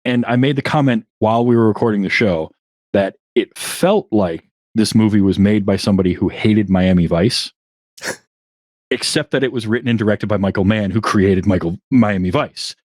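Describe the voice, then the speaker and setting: Low-voiced man chatting casually. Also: moderate pace, darkish tone, dry recording. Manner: rambling, restarts often, chuckles at himself. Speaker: podcast guest. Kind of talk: casual interview chat.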